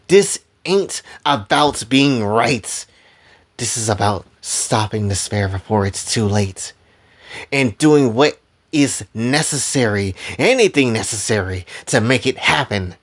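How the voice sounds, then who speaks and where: A man voicing a bitter, righteous female villain in a told story, with dramatic, brooding pauses. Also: medium pitch, voice pushed down. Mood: grim conviction. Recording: music behind the voice.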